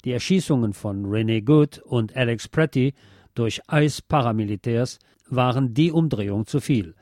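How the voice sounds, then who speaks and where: Mellow-voiced man reading scripted commentary. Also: medium pitch, normal speed, dry recording, clean studio sound. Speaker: radio presenter reading a commentary. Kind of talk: scripted political and music commentary.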